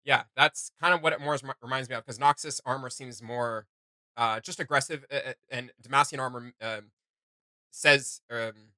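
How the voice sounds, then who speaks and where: A man's voice: mid-range voice, fairly even intonation, moderate pace, bright, forceful tone, dry recording. A man hosting a reaction channel, giving casual, enthusiastic commentary and often thinking aloud as he goes.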